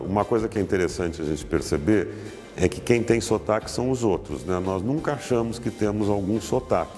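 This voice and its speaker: Man, low voice, reflective, explanatory commentary, long reverb, faint music. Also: lively intonation, brisk, darkish tone.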